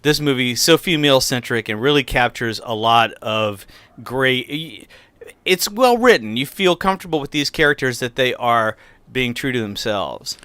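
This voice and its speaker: Crisp, bright-voiced middle-aged American man. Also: mid-range voice, lively intonation, normal speed, dry recording. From United States, near the Appalachian Mountains. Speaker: podcast host and film critic. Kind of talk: casual film discussion.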